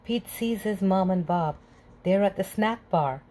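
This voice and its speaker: A woman reading a children's picture book aloud in a slow, clear, gentle way. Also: mid-range voice, slight background noise. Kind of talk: read-aloud storytelling.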